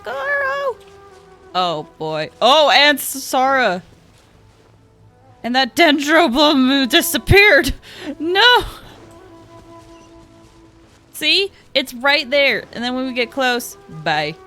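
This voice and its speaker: A woman's voice: high-pitched, very animated, slow, sharp tone. A woman game streamer giving casual live commentary in an informal, playful, exclamatory way. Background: game music.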